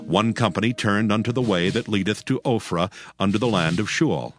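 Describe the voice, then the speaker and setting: A man's voice: fairly low pitch, moderate pace. A man narrating scripture in a solemn, scripted, dramatic storytelling style. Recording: music under the narration.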